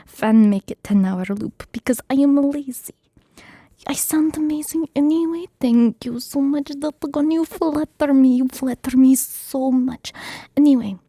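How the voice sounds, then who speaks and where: A woman's voice: high-pitched, a little slow, mellow, no room sound, studio quality. A woman ASMR streamer whispering a script reading and chatting playfully in jokey broken English with a put-on Russian accent, into a streaming microphone at home.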